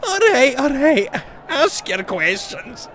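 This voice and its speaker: An actor playing a grumpy, cagey bookie in a comic fantasy audio drama. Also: male voice, very high voice, very animated, slow pace, fairly bright tone, heavily acted. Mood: pained. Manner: irritable, brusque.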